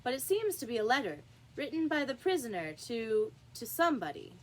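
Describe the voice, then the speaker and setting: A woman's voice: fairly high pitch, big pitch swings, fairly quick, poor audio. Woman reading as a fussy, deferential rabbit courtier. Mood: hurried, eager. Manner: respectful, eager.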